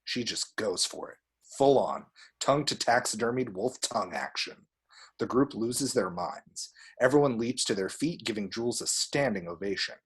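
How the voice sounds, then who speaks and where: A man reading screen directions aloud as expressive scripted narration. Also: fairly low pitch, moderate pace, breathy edge. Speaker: reader of action descriptions.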